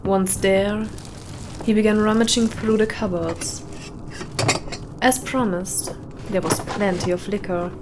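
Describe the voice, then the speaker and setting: A woman narrates an atmospheric short story at a slow, measured pace. She leaves dramatic pauses between phrases. Her voice has a middle pitch and sits over clearly audible howling wind ambience. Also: steady tone. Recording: windy howling ambience behind voice. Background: added wind or howling ambience.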